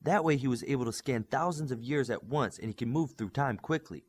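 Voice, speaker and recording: A man's voice: medium pitch, brisk, natural voice. A man voicing an earnest young superhero tech expert in an audiobook, explaining things methodically.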